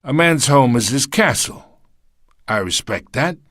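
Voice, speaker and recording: An actor playing a menacing, condescending older crime boss with a husky voice in a video game. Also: male voice, mid-range voice, animated, a bit bright, no echo, clean studio sound. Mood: smug menace. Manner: condescending, threatening, unhurried menace. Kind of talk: video game dramatic acting.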